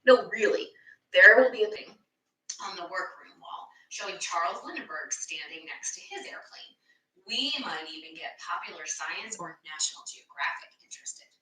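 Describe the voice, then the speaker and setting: A woman's voice: lowish voice, expressive, normal speed, bright, forceful tone. A woman voicing a cocky, impatient boy inventor in a storybook, boastful and scoffing toward his brother. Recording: echoey room, distant microphone.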